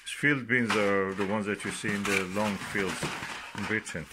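Slow, low-voiced man on a noisy recording. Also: steady tone. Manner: halting, pausing between short phrases. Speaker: gardening vlogger. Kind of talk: casual unscripted commentary while showing items. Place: indoors at a work table. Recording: noisy home recording with clicks and rustling.

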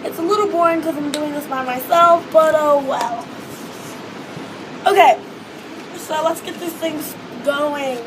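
Hoarse teenage girl vlogging on a windy balcony. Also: sits high, measured pace, long pauses. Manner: chatty, rambling with many fillers. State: hoarse, losing her voice. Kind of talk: casual vlog chat. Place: outdoor hotel balcony above a beach. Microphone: phone or webcam close in front. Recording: wind and wave noise outdoors. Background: ocean waves and beach noise.

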